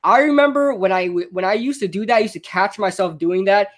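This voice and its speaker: Stuttering young man with a higher voice. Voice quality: slight stutter. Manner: rambling, quick-thinking, frequent restarts. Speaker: podcast host. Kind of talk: casual unscripted advice monologue.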